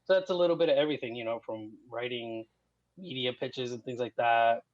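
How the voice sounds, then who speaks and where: A man's voice: mid-range voice, fairly quick, no room sound. A man in his thirties from Mexico, guest on a podcast, talking at an easy rambling pace with many fillers. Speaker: guest writer. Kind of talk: casual interview. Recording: muffled dry lo-fi.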